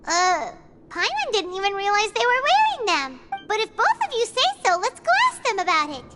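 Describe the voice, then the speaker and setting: A woman voicing a tiny, excitable floating fairy companion who chatters on and refers to herself in the third person. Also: extremely high pitch, moderate pace, full character voice. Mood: excited. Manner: talks about herself in third person, bubbly and nosy. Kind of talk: video game voice acting. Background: game music.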